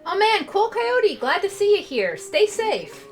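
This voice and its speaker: A woman co-hosting a retro game stream, excitable and swearing freely. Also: very high voice, a little slow, soft background music. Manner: excitable, swearing, laughing asides. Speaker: stream co-host. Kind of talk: casual game stream banter.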